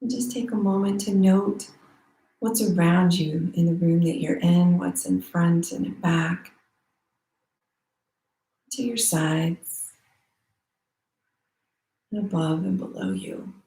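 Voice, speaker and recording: A woman leads a guided meditation in a mellow, relaxed voice. She speaks slowly and soothingly, leaving long pauses between phrases. The room adds a noticeable echo around her. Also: fairly low pitch. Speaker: meditation teacher. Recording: roomy echo.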